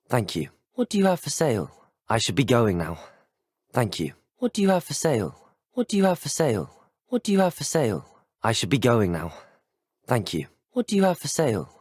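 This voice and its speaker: Young wizard student, earnest, well-spoken, video game lines, animated pitch, dry close sound. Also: male voice, breathy edge, no echo. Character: polite, curious young male student wizard. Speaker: player character in a video game.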